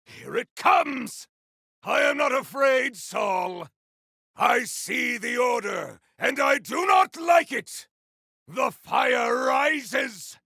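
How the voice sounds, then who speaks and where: Game role: bombastic, honorable cyborg warrior, slow declamatory delivery, often shouted, raspy edge. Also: male voice, very high voice, expressive, no echo, full character voice. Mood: defiant, forceful. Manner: theatrical, declamatory, grandiose. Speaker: video game voice actor. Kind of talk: video game combat barks.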